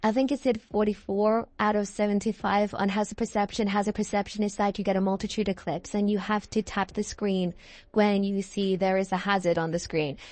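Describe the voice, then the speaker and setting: A woman's voice: medium pitch, level tone, fairly quick, a little mellow. A British woman in her early twenties chatting casually in a rambling, self-correcting way, as a video creator talking to viewers. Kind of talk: casual rambling chat over gameplay.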